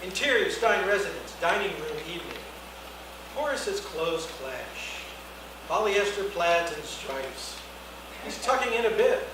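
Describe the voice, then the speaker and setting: A man's voice: high-pitched, big pitch swings, measured pace. A man reading scripted stage directions as the narrator at a live screenplay reading. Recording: echoey noisy hall, distant mic.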